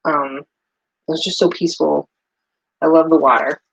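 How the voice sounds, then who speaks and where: A woman's voice: deep voice, steady tone, moderate pace, vocal fry, breathy edge, lo-fi sound. A middle-aged woman from New England chatting casually in her home kitchen while she makes soap, rambling with lots of fillers. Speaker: soap maker and vlogger.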